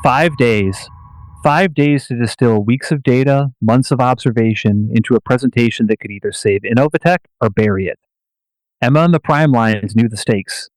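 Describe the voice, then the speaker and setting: A man narrating a scripted radio play in a measured, portentous storytelling manner. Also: mid-range voice, dry recording, low-quality recording.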